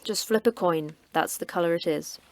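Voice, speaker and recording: An actress playing a terse, cryptic station manager who trains in martial arts, speaking in a curt, dry, sardonic way. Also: female voice, lowish voice, normal speed, lightly acted. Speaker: station manager of a secret facility.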